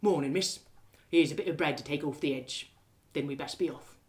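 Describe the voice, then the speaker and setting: Kindly jailer for audio drama, a simple polite man, gentle and rustic in phrasing, played by a woman. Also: sits low, fairly quick, pitched below the performer's own voice, natural voice. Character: kindly, plain-spoken male prison guard Reggie.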